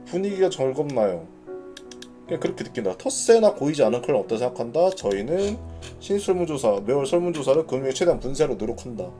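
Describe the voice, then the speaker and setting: A man's voice: mid-range voice, big pitch swings, quick pace, dark tone, soft background music. A man hosting an informal live announcement for a gaming community, reading notes and explaining them in a conversational way while thinking aloud. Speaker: gaming clan leader hosting announcement broadcast.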